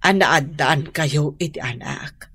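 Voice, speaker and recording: Worried middle-aged Ilocano mother, radio drama: lowish husky voice, slow, admonishing. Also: a bit bright, exaggerated voice. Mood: pleading, scolding. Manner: pleading, admonishing. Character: worried, exasperated mother scolding her heartbroken grown daughter.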